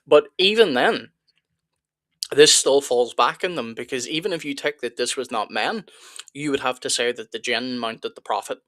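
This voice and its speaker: A man's voice: medium pitch, normal speed, a bit bright, no room sound. A man hosting a live reaction stream, arguing his points in casual chat with frequent restarts. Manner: argumentative, restarts and repeats words. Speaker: apologetics channel host.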